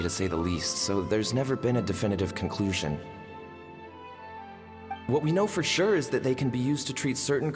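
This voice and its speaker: A man playing an earnest, devoted healer in a fantasy game. He speaks at a middling pitch, measured and scholarly. Long reverb and faint music surround him. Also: lightly acted. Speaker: voice actor in a game cutscene. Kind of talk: dramatic game dialogue acting.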